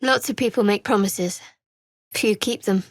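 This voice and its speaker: A woman playing a guarded, devout, dryly sardonic young cleric in a video game, speaking in a measured way. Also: medium pitch, dry recording, clean studio sound.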